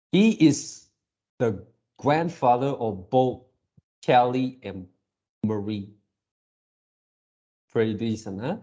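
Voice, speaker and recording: A man's voice: medium pitch, warm tone. A man giving casual reaction commentary as a video creator, rambling like an enthusiastic fan.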